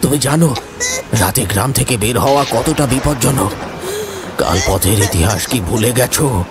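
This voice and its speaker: A man's voice: mid-range voice, animated, moderate pace, lightly acted. A man voicing a worried, cautioning village father in a horror cartoon, speaking with grave urgency. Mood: fearful, warning. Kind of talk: dramatic horror-cartoon voice acting. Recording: loud music under the voice.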